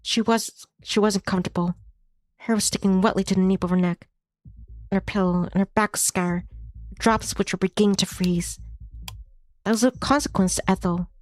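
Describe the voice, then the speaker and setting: A woman's voice: medium pitch, fairly even intonation, normal speed, no echo. Woman reading a story aloud over music. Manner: stumbles over words and rereads lines. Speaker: streamer reading a visual novel aloud. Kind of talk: read-aloud story narration. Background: music behind the voice.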